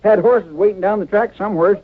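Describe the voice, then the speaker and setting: An actor playing an eager, anxious deputy sidekick in a radio western, with a folksy rural American accent. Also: male voice, sits high, a little fast. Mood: urgent. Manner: folksy, earnest. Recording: tinny old radio recording, faint noise.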